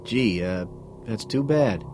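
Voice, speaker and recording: A man plays a smug, well-off man in an audiobook, full of false concern. He is condescending and impatient, badgering his listener and swinging between soft and sharp, with lively pitch. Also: slow, music in the background. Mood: condescending, prodding.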